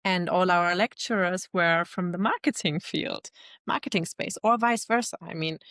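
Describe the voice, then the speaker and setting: A woman in her thirties, talking in a fairly low voice about her own path. She sounds reflective and laughs easily. The sound is dry and close to the mic. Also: moderate pace, a bit bright, dry recording. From Germany. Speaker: guest, LinkedIn expert. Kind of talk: casual interview chat.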